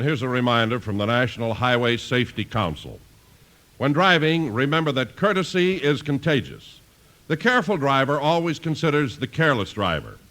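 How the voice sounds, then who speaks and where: A man's voice: mid-range voice, fairly bright tone. A man announcing formally and emphatically from a script on 1950s radio. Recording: vintage 1950s radio recording.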